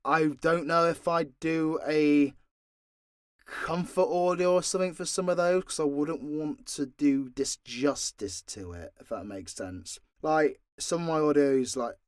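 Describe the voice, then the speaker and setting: A man's voice: mid-range voice, no echo. Dry-sounding British man chatting candidly. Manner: frank, sweary, rambling. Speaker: audio role-play creator and voice actor. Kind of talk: candid, informal Q&A chat.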